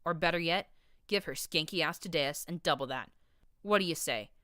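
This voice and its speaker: A woman's voice: deep voice, moderate pace, no echo, studio quality. A woman voicing a harsh, grating male pony who rants scornfully as a radio host in an audiobook story. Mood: ranting, scornful. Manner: loud, scornful tirade.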